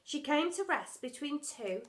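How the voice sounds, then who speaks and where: Animated high-voiced woman reading a story. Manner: theatrical, deliberate. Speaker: storyteller, school story reader. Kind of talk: expressive children's story reading.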